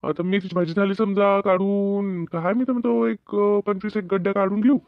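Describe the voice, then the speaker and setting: A man's voice: high-pitched, steady tone, normal speed, clean tone, no room sound. A man playing a bossy, practical farmer husband in a comedy cartoon, insistent and colloquial, with a rural Maharashtrian accent. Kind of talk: animated comedy cartoon dialogue. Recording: narrow phone-like band, dry.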